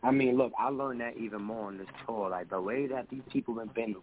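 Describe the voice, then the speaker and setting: A man's voice: medium pitch, a little fast. Earnest man on a tinny line. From the Bronx, New York. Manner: earnest, relaxed, frequent "you know what I'm saying". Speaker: R&B singer guest. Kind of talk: casual interview chat. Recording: tinny phone-like line, faint noise.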